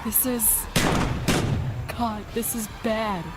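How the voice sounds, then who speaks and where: Woman playing a high, excitable zombie-apocalypse survivor. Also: extremely slow, over loud music. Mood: anxious, urgent. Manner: emotional, exclamatory. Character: excitable, desperate female survivor fighting zombies. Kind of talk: fan audio drama acting.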